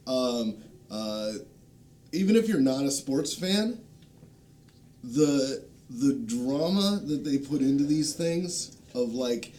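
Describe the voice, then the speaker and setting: A man chatting casually as a panel guest, recommending things in a halting way, with plenty of ums and pauses. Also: lively intonation, darkish tone. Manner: hesitant, halting delivery with frequent ums and long pauses. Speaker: guest, game designer. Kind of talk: casual panel chat, recommending video channels. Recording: low rumbling background noise.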